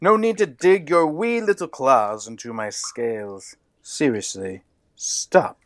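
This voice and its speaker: A man's voice: medium pitch, slow pace, a bit bright, no echo. A man playing a fussy, prim lizard man in an audio comedy drama. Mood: annoyed.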